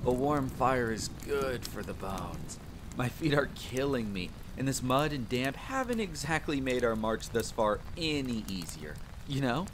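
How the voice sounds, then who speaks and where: The young recruit who chats up every stranger at a rainy army camp in a fantasy audio play a man acting, lively and eager, voice leaping about over the patter. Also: a little slow. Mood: friendly, chatty. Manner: talkative, eager, bantering. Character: friendly, chatty, excitable young male soldier, fantasy spearman. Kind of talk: immersive roleplay audio drama addressed to the listener. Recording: constant rain and rumble behind voice. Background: rain, campfire ambience, rolling thunder.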